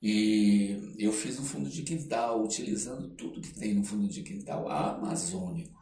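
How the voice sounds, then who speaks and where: An older man, reminiscing casually as an interview guest and often pausing to search for words. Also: mid-range voice, expressive, dark tone. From Belém, Pará, Brazil. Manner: halting, searching for words, frequent check-in tags. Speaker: podcast guest, theatre artist. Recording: roomy echo, off-mic, faint background noise.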